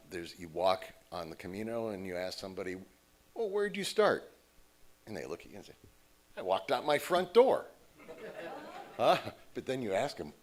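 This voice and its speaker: An American man speaking as a guest at a church service, telling stories in a conversational way. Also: low-pitched. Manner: conversational, storytelling with quoted voices. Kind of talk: informal talk from the pulpit.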